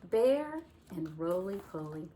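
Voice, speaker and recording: Woman, children's storyteller, soft-spoken, slow, lowish voice. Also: sing-song, slight background noise. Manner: warm, unhurried, soft-spoken. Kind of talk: reading a picture book aloud.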